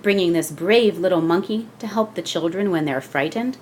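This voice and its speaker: A woman's voice: lowish voice, normal speed, darkish tone, slight background noise, lightly acted. A woman voicing a grateful, impressed mother in a children's storybook, exclaiming with admiration. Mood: admiring.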